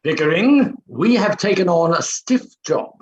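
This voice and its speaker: A man's voice: high-pitched, expressive, unhurried. Man playing a superior professor in an echoey room. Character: brisk, superior gentleman phonetics professor. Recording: echoey room, muffled, hissy, slight clicks.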